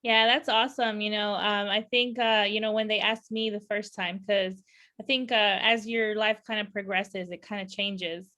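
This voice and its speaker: A woman's voice: medium pitch, steady tone, moderate pace, bright, forceful tone. A woman from California hosting a podcast interview, chatting casually with lots of fillers and frequent laughter. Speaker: podcast host.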